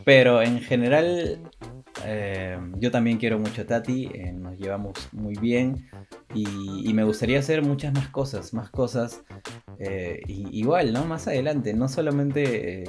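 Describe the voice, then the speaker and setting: A young man chats casually as a podcast co-host, with music behind him. His voice sits at a middling pitch. He rambles hesitantly and circles back over his own phrases. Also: lively intonation, moderate pace, a little mellow. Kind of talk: casual chat. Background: background music.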